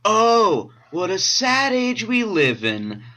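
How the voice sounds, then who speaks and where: A man's voice: high-pitched, huge pitch swings, slow pace, pushed and bright, exaggerated voice. A man playing a cocky, sarcastic teenage boy who taunts people in an amateur anime audio drama. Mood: mocking.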